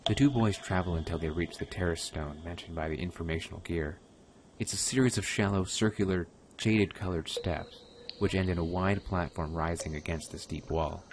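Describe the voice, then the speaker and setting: A man narrating a radio drama from a script in a measured, descriptive way. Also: sits low, a little hiss or hum.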